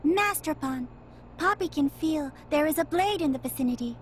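A woman's voice: high voice, expressive, moderate pace, slight background noise. A woman voicing a small, polite robot girl in a game, speaking in clipped, literal broken phrases. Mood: matter-of-fact. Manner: clipped, literal, third-person broken grammar. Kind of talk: video game cutscene acting.

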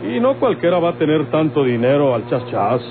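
A man's voice: fairly high pitch, very animated, over music. A man playing a persistent, ingratiating land buyer in a golden-age radionovela, deferential but insistent, with a Mexican accent. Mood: persuasive. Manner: insistent yet deferential, hesitant pauses. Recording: tinny, noisy old radio recording.

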